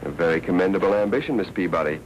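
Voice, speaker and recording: An actor playing a brisk, hard-nosed newspaper editor in a 1940s film, with an American accent and brusque, wry patter. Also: male voice, low-pitched, muffled recording, lightly acted. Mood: politely wry. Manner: brusque, wry, fast-talking newsroom patter. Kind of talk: 1940s film noir acting. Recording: noisy 1940s film soundtrack.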